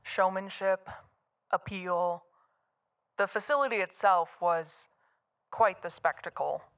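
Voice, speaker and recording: A woman's voice: mid-range voice, takes it slowly, a bit bright. An actress playing a guarded, matter-of-fact woman answering an interviewer in an audio drama. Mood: terse, guarded. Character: guarded, unimpressed woman witness recounting to a reporter.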